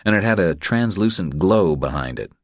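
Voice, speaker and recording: A man reading scripted audiobook narration in a measured storytelling manner. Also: low voice, lively intonation, dry recording, clean studio sound.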